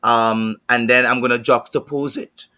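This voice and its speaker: Bright-voiced Trinidadian man, searching for words. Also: mid-range voice, fairly even intonation, normal speed, clear, resonant tone. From Trinidad and Tobago. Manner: thoughtful, repeats words while searching for them. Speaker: panel guest. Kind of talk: panel discussion.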